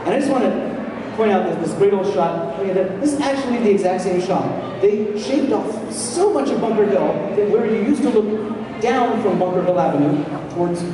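A man's voice: sits high, normal speed, crowd noise. Sardonic male lecturer in echoey hall. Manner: animated, sardonic, rambling asides. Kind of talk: public lecture. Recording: echoey hall, distant mic, loud noise.

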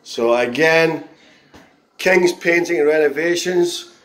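A man reviewing a contractor's work in a handheld phone walkthrough of a half-tiled bathroom, speaking in a halting, critical way with many pauses. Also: fairly high pitch, fairly bright tone. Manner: slow, halting, frequent pauses and restarts; disgruntled, critical. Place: bathroom under renovation, indoors. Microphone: phone camera held in hand.

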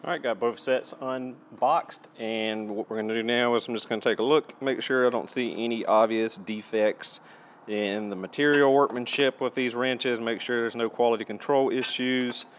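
A man's voice: mid-range voice, fairly even intonation, moderate pace, slight background noise. A man giving a casual, matter-of-fact and methodical product review as he presents tools.

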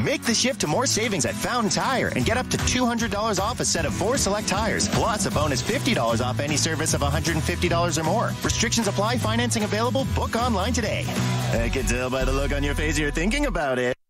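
A man's voice: high voice, big pitch swings, fast talker. A man reading a scripted radio commercial as an announcer. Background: loud music bed.

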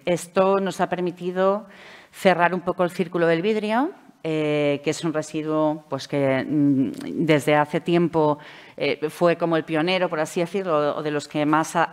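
A middle-aged woman with a Valencian Spanish accent giving a conference presentation from a table mic, measured and explanatory with frequent hesitation fillers. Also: sits low, darkish tone, smooth. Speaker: speaker at a public administration conference. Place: conference hall, seated at a table. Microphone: table microphone.

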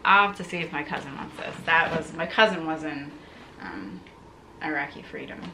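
A woman's voice: low-pitched, normal speed, noisy background. A woman in her thirties talking casually to camera as she hosts an unboxing vlog, musing aloud in a conversational way. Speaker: content creator, video host. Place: living room, indoors.

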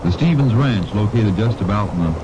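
Male actor as low-voiced, methodical Texas Ranger, deadpan old radio crime drama, heavy noise of rain and rumble behind. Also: lo-fi sound, lightly acted. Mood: measured, grave. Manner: terse, deadpan, deliberate. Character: calm, methodical male Texas Ranger narrating his case.

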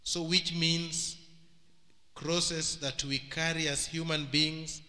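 A middle-aged Kenyan man, a priest, giving a homily at Mass into a stand microphone, preaching slowly and deliberately. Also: a little high, level tone, pushed and bright. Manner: slow, measured preaching with long pauses, switching into Luo. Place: large church hall. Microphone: stand microphone and handheld mic. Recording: church hall with long reverb.

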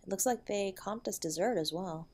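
A woman's voice: medium pitch, normal speed, darkish tone, lightly acted. A woman playing a tender, protective wife in an intimate audio roleplay, soothing and affectionate as she comforts her husband. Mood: gentle reassurance.

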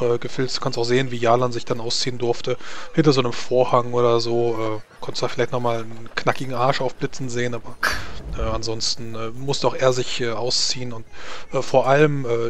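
A man's voice: mid-range voice, little pitch movement, brisk. A man running and playing a tabletop roleplaying game, talking in a casual, jokey way. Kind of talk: tabletop roleplay, explaining and acting scenes. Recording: clearly noisy microphone.

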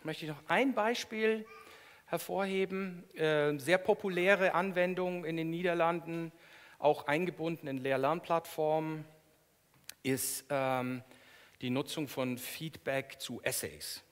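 A man's voice: a little high, measured pace, clean tone. Middle-aged man lecturing in a reverberant hall. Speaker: professor giving a keynote. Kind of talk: academic lecture, explanatory, semi-spontaneous. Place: lecture hall in front of an audience. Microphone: headset microphone.

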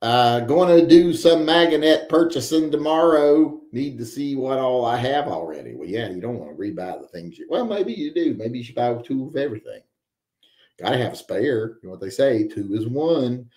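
A man's voice: medium pitch, big pitch swings, normal speed, darkish tone, echoey room. A man in his forties hosting a live stream from home, reading viewer chat and rambling with wry joking asides. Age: 40s. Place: home room with guns on the wall.

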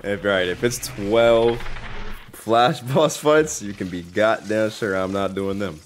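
A man's voice: lowish voice, slow pace, slight background noise. A man giving casual, slangy, swearing commentary on a video game in an incredulous manner. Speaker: game streamer. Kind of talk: casual gameplay reaction commentary.

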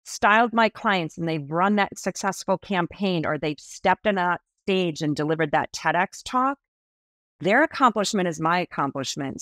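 A woman in her fifties, a stylist being interviewed on a podcast over a video call, telling stories with lively enthusiasm. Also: fairly low pitch, dry recording. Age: 50s. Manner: animated, enthusiastic storytelling with expressive hand gestures. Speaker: guest stylist. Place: small indoor room.

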